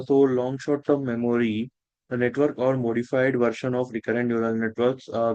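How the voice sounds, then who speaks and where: A man teaching a technical lesson, talking in a halting way with many restarts and fillers. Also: medium pitch, mellow, dry recording, dull audio. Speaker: instructor. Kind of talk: technical lecture.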